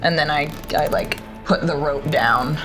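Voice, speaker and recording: Female tabletop player, lowish register, loose improvised delivery, clear musical score underneath. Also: unhurried, over music. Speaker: player in a tabletop roleplaying game. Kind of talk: casual improvised game play. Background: cinematic score.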